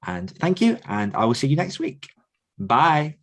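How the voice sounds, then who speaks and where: A man's voice: on the high side, big pitch swings, unhurried. A man hosting a podcast casually, in an enthusiastic, effusive manner. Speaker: podcast host and author coach.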